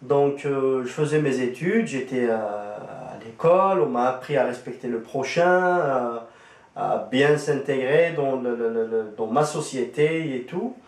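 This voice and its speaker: A man, a Kabyle speaker, talks through his testimony in a halting, casual way, pausing often on fillers. His voice sits at an ordinary middle pitch. It sounds roomy and echoey, picked up from some distance. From Algeria. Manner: hesitant, many fillers and restarts. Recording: roomy echo, distant from the mic.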